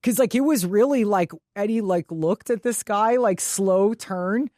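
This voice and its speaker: A woman co-hosts a sports podcast in a medium-pitched voice. She chats casually and tells stories by quoting herself and others as she goes. The recording is dry, with little room sound. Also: measured pace, warm tone, dry recording. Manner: conversational, quotes herself and others. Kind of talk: casual chat, animated retelling.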